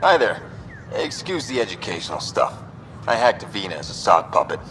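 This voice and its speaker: An actor playing an eager, smug activist hacker in a video game, chatty and emphatic. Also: male voice, fairly low pitch, sing-song, brisk, fairly bright tone, a little hiss or hum. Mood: smug, friendly. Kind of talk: video game dialogue acting.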